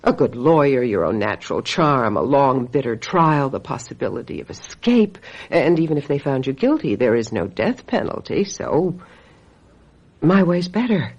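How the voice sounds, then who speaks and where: A low, husky female voice playing a vengeful witch in a radio mystery. She is coldly seductive and deliberate, coating her menace in mock sweetness. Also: very animated, a little mellow, heavily acted, poor audio. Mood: coldly triumphant. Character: vengeful, scheming woman, a self-styled witch, coldly seductive. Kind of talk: old-time radio mystery acting.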